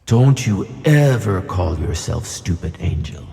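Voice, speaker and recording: Big wise fatherly fish for a kids audio story, super deep n mellow, calm, tons of reverb. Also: a little slow, creaky, full character voice. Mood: kindly, firm. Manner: calm, reassuring, gently admonishing. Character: wise, gentle male giant flying tuna, fatherly mentor. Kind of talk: children's audio play acting. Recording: long cavernous reverb on voice.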